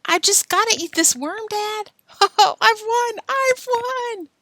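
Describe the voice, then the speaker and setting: Woman doing a shrill stubborn little boy. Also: expressive, moderate pace, no echo, clean studio sound. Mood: gleeful. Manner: shrill yelling and chanting. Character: young boy, stubborn, whiny and triumphant.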